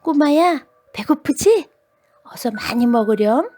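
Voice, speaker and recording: A woman's voice: sits high, animated, a little slow, warm tone, voice pushed up. A woman voicing a playful giant young girl in a storybook, exclaiming and cooing at someone tiny. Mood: coaxing.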